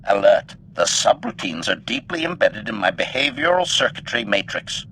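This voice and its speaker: A menacing game droid, with a low, sharp voice that swings widely in pitch. It is formal and coldly logical, processed to sound robotic, over faint background ambience. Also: male voice, very animated, normal speed, slight background noise, low-quality recording. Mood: clinical, calculating. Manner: formal, clinical, announces statement types before speaking. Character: menacing, coldly logical male combat droid, eager to kill. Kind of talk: video game character acting.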